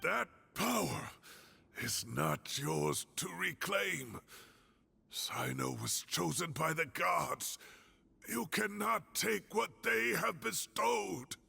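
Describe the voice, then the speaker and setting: An actor playing an older male scholar in a game, speaking slowly and earnestly. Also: sits high, expressive, creaky, pushed and bright, slightly rough, heavily acted. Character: older male scholar, defiant former researcher.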